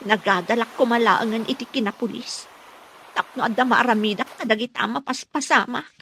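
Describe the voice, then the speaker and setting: Determined village daughter, highish voice. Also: female voice, brisk, a bit bright, a little hiss or hum, rough recording. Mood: troubled. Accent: Ilocano. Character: resolute grown daughter in an Ilocano village. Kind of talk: Ilocano radio drama acting.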